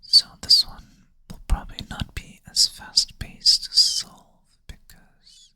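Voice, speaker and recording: ASMR whisperer, sleepy and self-deprecating, bright airy close-mic whisper. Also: takes it slowly. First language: Swedish. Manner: soft whisper, thinking aloud, self-deprecating. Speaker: ASMR creator. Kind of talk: whispered ASMR puzzle play-through.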